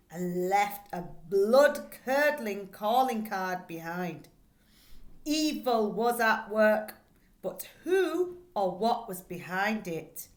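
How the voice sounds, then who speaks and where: Slow, echoey woman teacher reading aloud. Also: fairly high pitch. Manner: deliberate, expressive storytelling with questions to listeners. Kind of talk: read-aloud lesson for pupils, reading and explaining a children's book. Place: small plain indoor room. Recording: echoey, roomy indoor recording.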